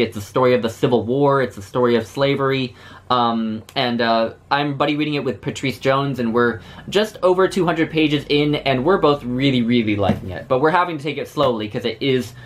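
A man in his thirties talking casually to camera about the books he is reading, with frequent fillers and restarts, in a small book-lined room. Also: medium pitch. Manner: chatty, many fillers and restarts. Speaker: book vlogger.

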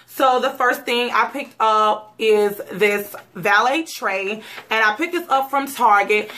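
A woman in her thirties, hoarse from a cold, chatting casually to camera in her bedroom about gift ideas, rambling with lots of "um" and "like". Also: on the high side, a bit bright. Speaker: YouTube vlogger. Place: bedroom at home. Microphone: camera mic, seated facing camera.

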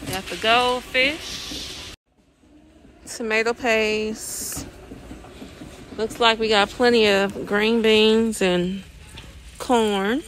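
A woman's voice: fairly high pitch, fairly even intonation, very slow, pauses often, low-quality recording. A woman vlogging on her phone as she walks through a big warehouse store, giving a chatty running commentary and repeating items as she spots them. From California, USA. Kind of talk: casual shopping walkthrough vlog. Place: in a car, then inside a large warehouse store. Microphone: phone camera held at arm's length. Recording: phone audio with loud store background noise.

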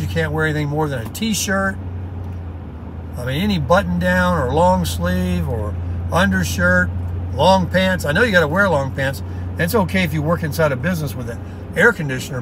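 Chatty man talking while driving. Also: a little high. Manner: chatty, folksy, upbeat. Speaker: vlogger. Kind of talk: casual rambling talk while driving. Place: inside a moving car. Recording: faint road noise in car. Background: road and car noise.

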